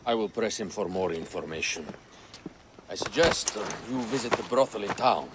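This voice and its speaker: A man's voice: fairly low pitch, lively intonation, normal speed, heavy background noise, natural voice. A man playing a worldly, sardonic royal ally in a video game, with a wry, theatrical delivery. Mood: businesslike. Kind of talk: dramatic cinematic game acting. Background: music.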